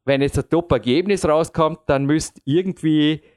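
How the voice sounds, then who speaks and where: Chatty man in his late thirties, slightly high. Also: normal speed, a little mellow. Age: 30s. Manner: rambling, self-deprecating, chuckles often. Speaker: podcast host and competitive climber. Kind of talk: casual conversational chat.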